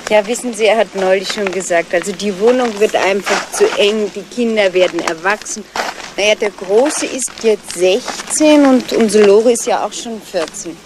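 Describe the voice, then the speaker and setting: A woman's voice: medium pitch, normal speed, natural voice. A woman playing a chatty, angling middle-aged housewife and mother in a 1970s radio crime drama. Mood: eager, persuasive. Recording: noisy old radio play recording.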